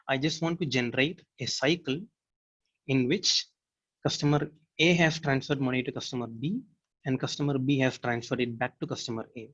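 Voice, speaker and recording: A man's voice: medium pitch, a bit bright, breathy edge. Man presenting, dull lo-fi audio. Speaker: technical presenter. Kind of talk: software demo walkthrough, explanatory.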